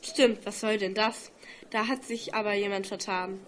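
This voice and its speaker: A woman's voice: medium pitch, fairly even intonation, moderate pace, slight background noise, lightly acted. A teenage girl acting a curious, matter-of-fact schoolgirl in an amateur radio play. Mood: matter-of-fact, puzzled.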